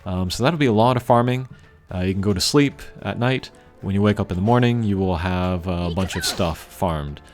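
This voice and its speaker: A man giving casual, unscripted gameplay commentary as a channel host, thinking aloud in a measured way. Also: low voice. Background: game music.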